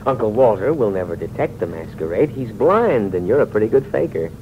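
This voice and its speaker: A man's voice: lowish voice, sing-song, brisk. A man acting a sardonic, fatalistic heir who taunts and goads in theatrical 1940s radio drama style. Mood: goading. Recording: muffled old radio recording, faint noise.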